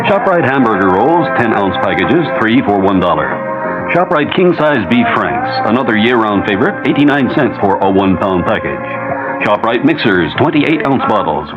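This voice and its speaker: A man reading a 1970s radio advertisement as a commercial announcer. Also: lowish voice. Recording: dull 1970s radio broadcast. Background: music.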